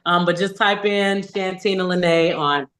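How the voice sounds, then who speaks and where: A woman's voice: fairly low pitch, moderate pace, fairly bright tone. A young adult woman, a singer and podcast guest, talking candidly and animatedly about her life in a casual interview. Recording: echoey room.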